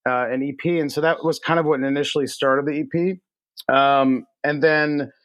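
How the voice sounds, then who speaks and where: A man from Texas, a musician guest, giving casual interview answers in halting, hesitant phrases. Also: on the high side, a bit bright, clean tone, no room sound. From Texas, United States. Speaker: singer-songwriter guest.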